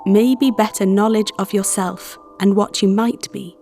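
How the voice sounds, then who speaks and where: Slow, gentle female therapist acted by a woman. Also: medium pitch, fairly even intonation, natural voice. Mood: tender, reassuring. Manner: soothing, earnest, deliberate with pauses. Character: gentle, protective female visualization therapist guarding imagined minds. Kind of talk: ASMR story roleplay. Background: background music.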